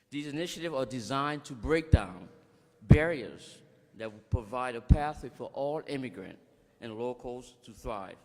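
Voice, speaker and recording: A man's voice: medium pitch, darkish tone, in a large hall. A man who runs a nonprofit, giving a formal public speech at a ceremony and stopping now and then to correct himself. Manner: formal speech with frequent restarts and thank-yous. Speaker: executive director of a nonprofit. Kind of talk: public speech at a bill signing.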